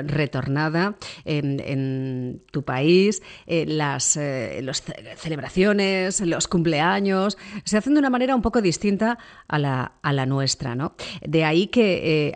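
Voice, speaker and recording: A woman radio interviewer asking measured, friendly questions with some fillers. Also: fairly low pitch.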